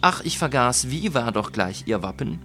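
A man voicing a proud, tipsy, excitable nobleman in a story reading, boastful and dismissive. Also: mid-range voice, moderate pace, faint music behind, voice pushed up. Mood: tipsy, curious.

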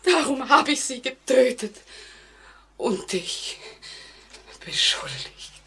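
Desperate, haunted female killer, radio thriller: high, breathy, tremulous, slow and halting, overwrought. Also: sing-song, pushed and bright, exaggerated voice. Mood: anguished confession. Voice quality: breathy, husky, often breaking into whispers. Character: desperate, haunted woman confessing to murder in terror. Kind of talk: German radio crime drama acting.

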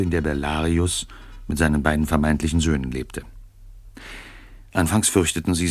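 Very low man's voice, radio drama narrator, husky, dry studio sound. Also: dry recording.

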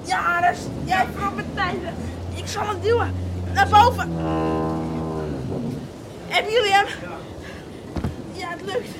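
A woman's voice: very high voice, steady tone, takes it slowly, long pauses, heavily acted. A woman playing a defiant young character who takes charge in a radio drama, urgent and bossy. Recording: very noisy storm and clamour.

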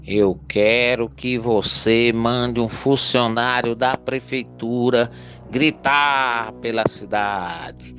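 An actor playing a furious, pompous, all-powerful landowning major in a radio play. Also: male voice, medium pitch, a bit bright. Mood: cold, commanding. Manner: pompous, domineering.

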